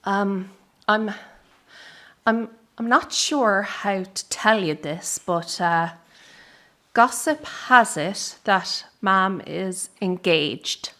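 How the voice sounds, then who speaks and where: A woman's voice: mid-range voice, extremely slow, fairly bright tone. A woman acting a young Irish circus granddaughter in a radio drama, caring but blunt with her family. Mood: hesitant.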